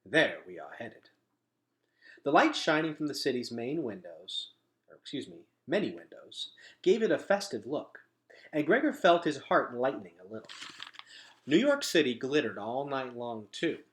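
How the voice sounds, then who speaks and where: A man reading a children's novel aloud as a storyteller, with expressive, emphatic phrasing. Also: mid-range voice, normal speed. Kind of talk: animated children's book reading.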